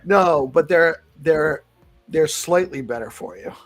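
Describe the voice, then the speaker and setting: A man's voice: on the high side, takes it slowly, soft background music. A man hosting a casual morning talk show, chatting conversationally with guests. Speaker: host.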